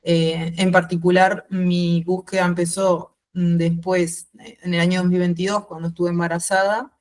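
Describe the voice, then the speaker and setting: A woman in her thirties with a mixed Uruguayan and Spanish accent, speaking reflectively and haltingly as a guest in an informal interview. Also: fairly low pitch, flat delivery, a little mellow. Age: 30s. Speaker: podcast guest. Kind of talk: informal interview, personal account.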